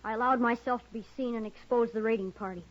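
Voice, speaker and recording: A woman's voice: on the high side, fairly even intonation, fast, lightly acted. A woman playing a wary, stoic frontier boy who speaks in a terse, solemn way, in a 1950s radio western. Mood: guarded, solemn. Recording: muffled 1950s radio recording.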